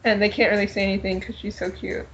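A woman's voice: mid-range voice, little pitch movement, fast, slight background noise. A woman talking casually as a podcast panelist over dull, lo-fi audio. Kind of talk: casual chat.

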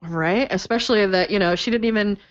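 A woman's voice: mid-range voice, fairly quick, dry recording. An enthusiastic woman in her forties co-hosting a podcast in a casual, chatty way that breaks into laughter easily. Her sound is dull and lo-fi, and the room is dry. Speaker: podcast co-host.

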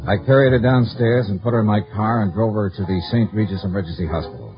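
An actor playing a tough, self-assured insurance investigator in a 1950s radio detective drama, with clipped narration and dialogue. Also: male voice, fairly low pitch, fast talker, music in the background, lightly acted. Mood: terse narration. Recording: muffled vintage 1950s radio recording.

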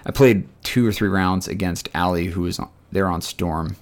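Low, scratchy-voiced man telling stories. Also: moderate pace. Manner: rambling, chatty storytelling. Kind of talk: casual chat.

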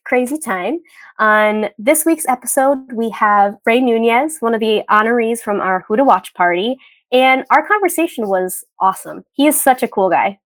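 A woman's voice: a little high, no room sound. A woman co-hosting a podcast and interviewing a guest, chatty and upbeat, recording from home over a video call. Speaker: podcast co-host.

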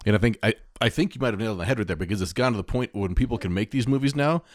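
A man with a lowish voice, chatting casually as a podcast co-host. The recording is dry, with hardly any room sound. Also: rapid-fire, no echo. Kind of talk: casual geek-culture chat.

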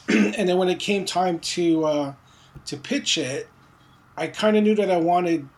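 A man's voice: high voice, measured pace. Man, chatty interview guest, loose and rambling, um and uh throughout. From Philippines, raised in Montreal. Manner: loose, rambling, many fillers. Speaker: comic book writer. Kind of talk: casual interview.

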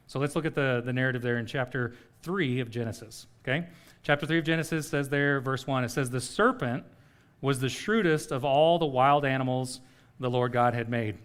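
A man's voice: medium pitch, fairly bright tone. A middle-aged man preaching a sermon in a conversational way, often checking in with "okay?". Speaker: pastor.

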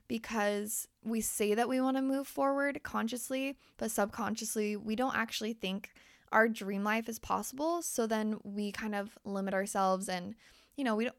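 A woman's voice: medium pitch, gravelly, dry recording, studio quality. A young woman podcast host and life coach who is losing her voice. She talks candidly and swears freely as she speaks straight to her listeners. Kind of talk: casual motivational podcast monologue.

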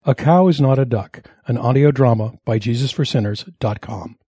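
A man's voice: mid-range voice, normal speed, warm tone, slightly rough. A man reading scripted storybook narration as the narrator of an audio drama. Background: acoustic guitar music.

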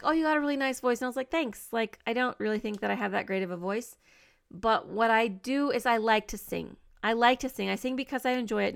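A woman's voice: medium pitch, gravelly, smooth. A woman hosting a solo podcast, talking to listeners in an earnest, upbeat, encouraging way, with plenty of fillers. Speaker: podcast host.

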